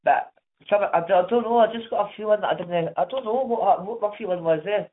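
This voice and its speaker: A man's voice: sits high, quick pace. Scottish man, rambling on a phone line. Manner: rambling, apologetic, keeps adding "eh?" and "right?". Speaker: caller, prospective legal client. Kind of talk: casual phone call.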